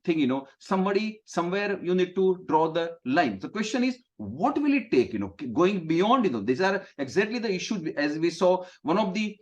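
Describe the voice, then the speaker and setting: A man's voice: sits high, very animated, moderate pace, hardly any pauses. A man from India whose first language is Gujarati, moderating a webinar over a video call and rambling with many fillers. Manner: rambling, heavy "you know" fillers and restarts. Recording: dull lo-fi call audio.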